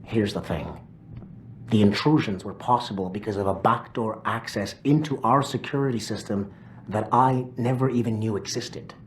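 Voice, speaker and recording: An actor playing a grudging, smug corporate tech expert in a video game, deliberate and sarcastic. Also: male voice, fairly low pitch, close to an everyday voice. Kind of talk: video game cutscene acting. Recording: background noise.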